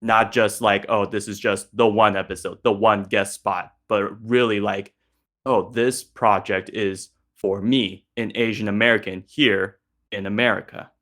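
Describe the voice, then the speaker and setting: A man's voice: lowish voice, fairly even intonation, a little slow, fairly bright tone. A man hosting a discussion in a casual, conversational way, building loosely toward a question. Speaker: discussion host.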